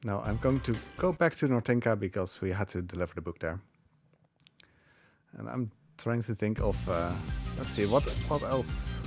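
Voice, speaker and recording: A man's voice: fairly low pitch, animated, moderate pace, a little mellow. A man giving casual live speedrun commentary, hesitant and rambling as he plays. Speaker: speedrunner commentating on own run. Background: game music.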